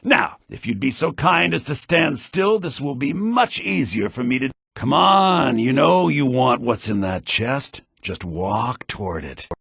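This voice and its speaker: A smug creepy necromancer for a game, coaxing, croaky, pitch slides all over. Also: male voice, expressive, unhurried, hardly any pauses, a bit bright, no echo, studio quality, heavily acted. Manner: smug, falsely coaxing, muttering. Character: sly, cruel necromancer gleefully luring victims into a trap. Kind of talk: video game character acting.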